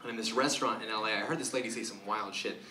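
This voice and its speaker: A stand-up comedian from Romania performing a live club set, delivering dry set-ups and jumping into loud, shrill voices for his punchlines. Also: fairly even intonation, rapid-fire, recorded from a distance. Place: live club show with audience laughter. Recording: roomy club sound from afar, audible noise.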